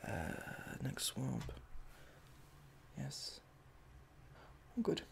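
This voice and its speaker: A young man doing a soft-spoken ASMR roleplay as a medical examiner, halting and awkwardly polite, in a small room at home. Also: mid-range voice, huge pitch swings, gravelly. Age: young adult. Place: small domestic room indoors.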